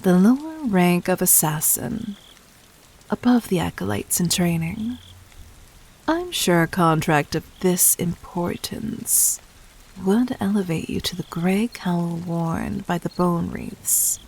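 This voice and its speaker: A very slow, gravelly-edged demon queen played by a woman for an intimate audio drama. She is husky and seductive, with poised, formal diction. Her manner is amused and condescending, always in command. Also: on the low side, animated, darkish tone, full character voice. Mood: knowing, commanding. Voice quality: breathy, husky.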